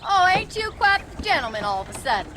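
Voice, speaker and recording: A woman's voice: very high voice, big pitch swings, fast. An actress playing a spirited, self-reliant young ranch woman in a Western game, frank and polite with a rural American accent. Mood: teasing. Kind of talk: dramatic game cutscene acting. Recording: outdoor noise, horses and animals behind.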